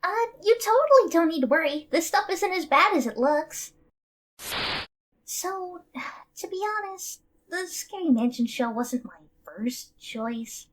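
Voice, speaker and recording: A chirpy, nervous cartoon girl voiced by a woman, very high and animated. She comes across as an eager outdoorsy type on a reality show. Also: a little slow, a little hiss or hum. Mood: reassuring. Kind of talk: cartoon character dialogue.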